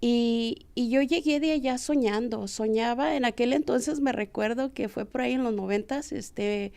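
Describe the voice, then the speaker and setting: A woman's voice: on the high side, creaky, darkish tone, clean tone. A woman in her forties from the Texas border, telling her story casually and humbly as an interview guest, with hesitant fillers. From Texas border region, Mexican family. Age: 40s.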